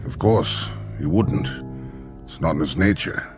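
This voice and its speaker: A man acting in a radio drama as a remorseful, formal school headmaster who phrases things in a measured way. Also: rumbling low, lively intonation. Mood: concerned, remorseful. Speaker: actor. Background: music.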